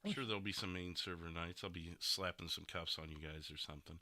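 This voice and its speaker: A man's voice: low-pitched, quick pace, bright, forceful tone. A man hosting a podcast in casual chat, with hesitant, rambling phrasing. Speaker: podcast host.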